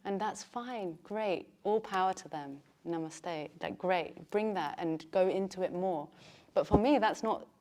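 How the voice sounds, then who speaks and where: A woman teaching yoga teacher trainees, talking in a chatty way and laughing often. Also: mid-range voice. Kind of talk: teaching a class.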